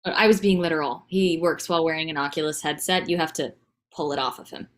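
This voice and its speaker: A woman playing a no-nonsense wife and mother with casual, deadpan delivery in a comedy table read. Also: low voice, fast talker, lightly acted. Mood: blunt.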